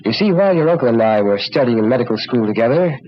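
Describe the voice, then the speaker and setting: An actor playing a grave, cautious middle-aged doctor, explaining solemnly in 1940s radio drama style. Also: male voice, mid-range voice, animated, fast talker, natural voice. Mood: solemn, ominous. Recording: muffled, noisy old radio recording.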